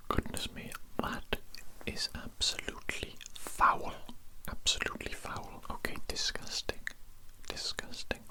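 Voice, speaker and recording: A man playing a sinister, contemptuous evil doctor in an ASMR roleplay, in a breathy whisper with slow, repetitive, condescending phrasing. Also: lightly acted, low-quality recording. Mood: disgusted, scornful.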